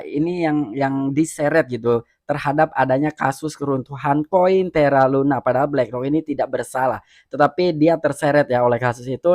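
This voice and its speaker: Chatty man, slightly high voice. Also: a little mellow, no echo, studio quality. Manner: chatty, many fillers like "gitu ya" and "nih". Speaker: crypto YouTuber. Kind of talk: casual explainer reading and commenting on news.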